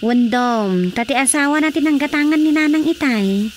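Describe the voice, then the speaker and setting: An actress playing a concerned, plain-spoken village daughter in an Ilocano radio drama, earnestly explaining things. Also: female voice, high-pitched, animated, normal speed, a little hiss or hum. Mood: advising.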